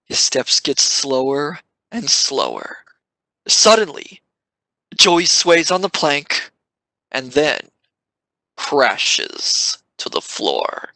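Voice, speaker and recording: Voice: medium pitch, wildly swooping pitch, extremely slow, pauses often, pushed and bright, no room sound, low-quality recording. A narrator reading the stage directions of a live amateur radio play in a dramatic way, stressing sudden events.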